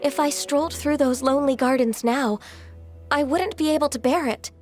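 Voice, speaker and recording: A woman playing a gentle, earnest half-human adeptus in a video game, speaking politely and formally with slight hesitance. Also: high-pitched, normal speed, close to an everyday voice. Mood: wistful, solemn. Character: gentle, earnest, ancient half-qilin female adeptus secretary. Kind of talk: video game cutscene dialogue. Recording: music under the voice. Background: background music.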